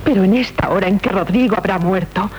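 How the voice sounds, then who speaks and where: A woman's voice: medium pitch, fast, a little mellow, a little hiss or hum, natural voice. An actress with a Castilian accent playing a proud, bitter young noblewoman in a 1970s radio drama, declaiming solemnly and theatrically. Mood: anguished. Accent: Castilian Spanish.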